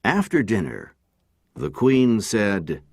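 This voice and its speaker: A man with an American accent and a deep, creaky voice, narrating a scripted storybook for learners. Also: very animated, slow pace, darkish tone, no room sound, clean studio sound.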